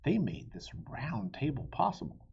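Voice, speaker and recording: A man's voice: a little high, huge pitch swings, unhurried, gravelly, darkish tone, breathy edge, muffled recording, full character voice. A man voicing a commanding, gracious king who proclaims formally to his knights in a storybook. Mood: formal, grateful.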